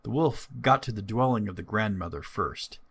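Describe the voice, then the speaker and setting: A man's voice: on the low side, normal speed, gravelly, fairly bright tone, breathy edge. A man narrating a scripted fairy tale as a storyteller.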